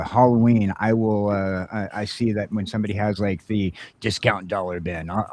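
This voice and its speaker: A man's voice: low voice, darkish tone, slight background noise. A man hosting a casual podcast who talks in an eager, chatty way and reads out viewer comments.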